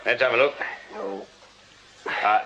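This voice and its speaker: A man playing a brisk, no-nonsense Victorian detective sergeant in a radio drama, with an English accent and an authoritative, businesslike manner. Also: mid-range voice, fairly even intonation, a little hiss or hum. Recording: muffled radio drama with loud background ambience.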